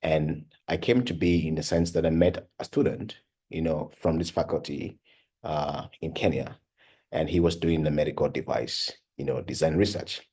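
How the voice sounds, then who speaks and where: A very deep, husky male voice from a young man born in Cameroon. He talks earnestly and conversationally, dropping frequent "you know" and "uh" fillers. His voice stays low, with a rough, breathy edge. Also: moderate pace, darkish tone. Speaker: medical device company founder and PhD researcher. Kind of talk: personal talk for an online course.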